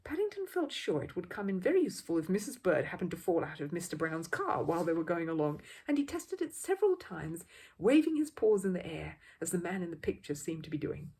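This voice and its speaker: Very husky woman reading a children's story. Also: fairly low pitch, very animated, a little fast, dark tone. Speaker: audiobook reader. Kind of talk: expressive children's story narration.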